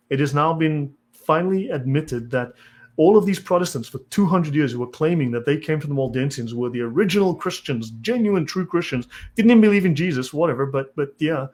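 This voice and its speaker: A man's voice: fairly high pitch, moderate pace, darkish tone. A South African man hosting a live stream, who reads from articles and answers chat comments bluntly and impatiently. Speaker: channel host.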